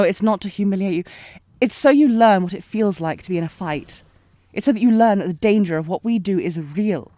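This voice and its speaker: A woman's voice: medium pitch, mellow, no echo, close to an everyday voice. A woman playing a sardonic, protective knight bodyguard in a fantasy audio roleplay, with a taunting, commanding, intense manner. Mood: stern, menacing. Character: sardonic, protective female knight bodyguard, old academy rival. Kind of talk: scripted fantasy audio roleplay, acted one-sided dialogue.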